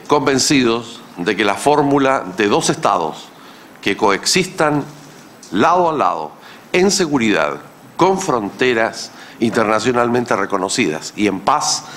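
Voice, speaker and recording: Middle-aged man, formal moderator, unhurried, bright tone, table mic. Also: mid-range voice, a little hiss or hum. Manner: measured, ceremonious delivery with pauses. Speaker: panel moderator. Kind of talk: formal introduction speech. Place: conference hall, seated at panel table. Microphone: gooseneck table mic.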